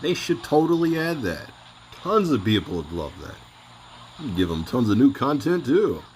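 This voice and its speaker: A man's voice: mid-range voice, sing-song, moderate pace, noisy background. A man reacting to game clips with laid-back, dry, brief asides. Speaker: reaction streamer.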